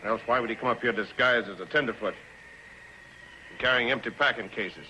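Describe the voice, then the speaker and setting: An actor playing a ruthless, calculating outlaw boss in a 1950s TV western, blunt and commanding, with rustic speech. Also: male voice, mid-range voice, fairly quick, pushed and bright, a little hiss or hum, poor audio. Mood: firm, scheming. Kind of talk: 1950s television western acting. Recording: old 1950s TV soundtrack with music.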